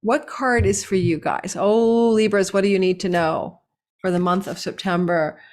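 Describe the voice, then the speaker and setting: A hoarse woman host giving a casual, digressive horoscope reading, recorded indoors at a relative's house and laughing at herself now and then. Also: medium pitch, steady tone, moderate pace, darkish tone. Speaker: astrologer host.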